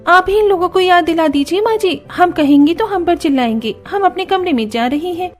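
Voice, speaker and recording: A woman's voice: very high voice, animated, brisk, pitched above the performer's own voice. A woman doing fussy complaining young daughters-in-law. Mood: plaintive. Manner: complaining, emphatic.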